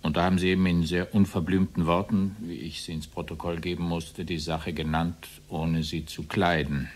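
Give this radio play a very low male voice: an evasive former officer on trial. He answers the judge deferentially, in formal and circuitous phrases. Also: flat delivery, normal speed. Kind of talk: radio play courtroom dialogue.

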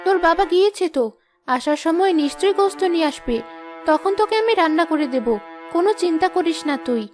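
A woman's voice: very high-pitched, animated, fast, over music. A woman voicing a poor village mother in a cartoon, tender and anxious, with a coaxing, fretful manner. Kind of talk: Bengali moral-story cartoon dubbing.

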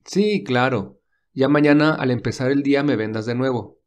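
A man voicing a caring young male lead in a read-aloud romance, speaking softly with light teasing. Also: medium pitch, normal speed, lightly acted. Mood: gentle.

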